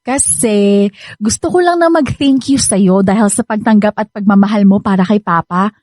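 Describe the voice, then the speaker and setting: A woman's voice: mid-range voice, normal speed, darkish tone, no echo, lightly acted. A Filipino woman playing a sweet, caring young stepdaughter in a radio drama, affectionate and cheerful. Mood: grateful.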